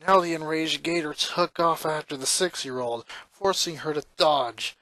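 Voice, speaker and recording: A man reading a story aloud as an expressive amateur narrator. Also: fairly high pitch, moderate pace, dry recording. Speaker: narrator reading fan fiction.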